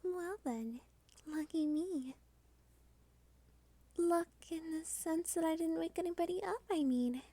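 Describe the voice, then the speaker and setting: A cute female vampire, played by a woman for an ASMR roleplay, speaks in a high, mellow voice at an unhurried pace. She is soft-spoken and courteous, with a coy, teasing edge and a quietly possessive, dominant temper. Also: dry recording. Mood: polite, coy.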